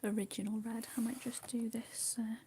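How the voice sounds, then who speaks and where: A woman chatting casually with her viewers while she colours, speaking softly, often half in a whisper and a little hesitant. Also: a little high, flat delivery, normal speed, noisy background. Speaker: livestream host.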